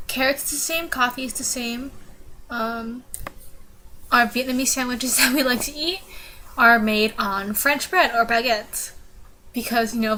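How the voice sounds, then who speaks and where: A woman's voice: on the high side, a bit bright, slight background noise, low-quality recording. Giggly awkward teen girl vlogger. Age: teens. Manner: giggly, awkward, many ums. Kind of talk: casual informative vlog.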